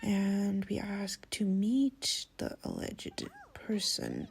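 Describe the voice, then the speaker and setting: A woman's voice: mid-range voice, huge pitch swings, extremely slow, creaky. A woman narrating a casual recap of a video game story.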